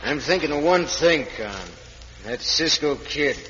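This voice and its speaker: An actor playing a surly, scheming Old West villain with an American accent in an old radio western. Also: male voice, a little high, expressive, a little slow, fairly bright tone, slight background noise. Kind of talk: 1950s radio western acting. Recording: echoey, dull old radio recording.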